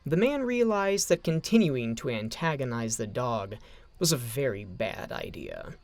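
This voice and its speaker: A man narrating a scripted story in a dramatic, expressive storytelling manner. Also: mid-range voice, unhurried, no echo.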